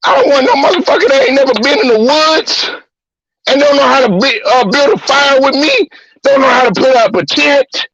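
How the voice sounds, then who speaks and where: A man's voice: very high-pitched, very animated, moderate pace, vocal fry, a bit bright, dull audio. A man hosting a talk show, ranting bluntly and profanely with heated repetition. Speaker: host. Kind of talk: profane sports-talk rant.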